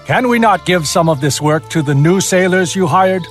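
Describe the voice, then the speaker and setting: An actor playing an earnest, honorable Chinese fleet captain with a measured, formal delivery in a game cutscene. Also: male voice, high-pitched, lively intonation. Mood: grave, restrained. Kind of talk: dramatic game cutscene acting. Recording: game audio with music behind.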